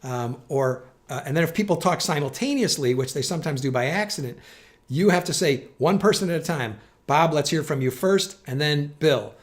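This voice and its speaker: Higher-voiced man, instructional. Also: expressive, moderate pace. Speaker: presenter giving communication tips. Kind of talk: instructional explainer to camera.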